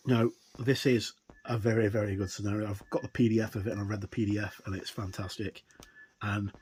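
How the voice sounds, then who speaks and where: Male hobby reviewer, mid-pitched, casual and halting unboxing talk, faint background noise with clicks. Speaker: tabletop game reviewer. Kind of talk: casual unboxing commentary with read-out passages.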